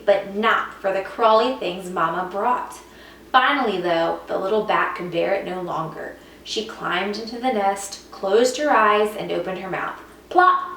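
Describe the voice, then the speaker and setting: A woman reading a children's picture book aloud as a teacher would, with expressive, dramatic emphasis. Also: medium pitch. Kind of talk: expressive scripted storybook narration. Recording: echoey room, distant mic, faint noise.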